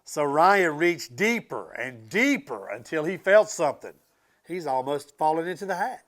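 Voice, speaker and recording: A man reads a children's book aloud in a slightly high, animated voice. His delivery is expressive and emphatic, and he steps out of the story to chat casually with the children listening. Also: normal speed. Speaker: school transportation director reading aloud to students.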